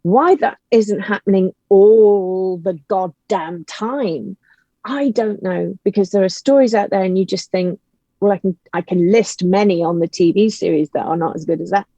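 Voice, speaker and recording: A woman's voice: medium pitch, normal speed, warm tone, dry recording, dull audio. A woman guest writer talking casually and passionately, emphatic and full of exasperated rhetorical questions. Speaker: guest, audio drama writer.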